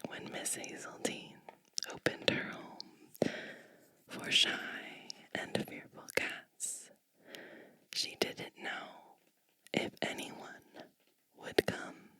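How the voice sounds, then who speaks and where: A woman whispering gently and breathily close to the microphone, reading a storybook aloud with chatty asides in an ASMR style. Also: dry recording. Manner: slow, gentle, hushed delivery with chatty asides.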